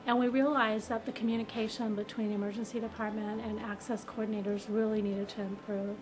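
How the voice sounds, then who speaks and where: A woman's voice: medium pitch, level tone, fairly quick. A woman who works in a hospital, explaining things in a testimonial interview. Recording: clearly noisy hospital background.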